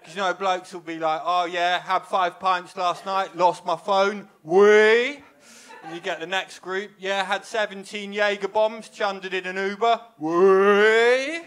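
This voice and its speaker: A young man performing stand-up on a small comedy club stage, speaking into a handheld mic. His voice sits high for a man and rings bright and sharp. He delivers his lines with a wry, self-deprecating deadpan. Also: measured pace, clean tone. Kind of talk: stand-up set. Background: audience laughter.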